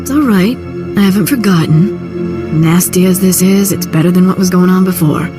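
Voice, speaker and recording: An actress playing a brash, sardonic, streetwise crime broker in a video game. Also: female voice, lowish voice, normal speed, lightly acted. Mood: amused, disgusted. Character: brash, sardonic female underworld fixer. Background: loud music.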